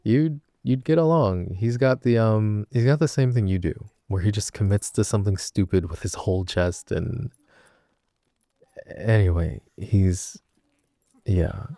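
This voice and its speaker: A chatty, teasing young guy, acted by a man in an intimate best-friend roleplay. His voice is low and mellow with a gravelly creak. He rambles wryly through stories, often cutting himself off. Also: no echo, clean studio sound. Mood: wry, rambling. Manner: rambling, wry, halting with self-interruptions. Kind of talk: intimate boyfriend roleplay audio, casual storytelling.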